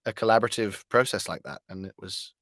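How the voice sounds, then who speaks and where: A man's voice: fairly low pitch, fast talker, a bit bright, dry recording, clean studio sound. A man speaking as a voice actor being interviewed, wordy and articulate, with whimsical turns of phrase. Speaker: guest, voice actor. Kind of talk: conversational interview.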